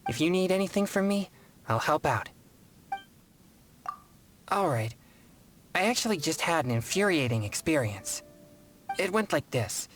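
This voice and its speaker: A man's voice: on the high side, sing-song, a little slow, long pauses, fairly bright tone, slight background noise. A man voicing a serious, polite teenage boy exorcist in a video game, speaking in a formal, earnest and courteous manner. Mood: indignant. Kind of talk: scripted video game voice acting.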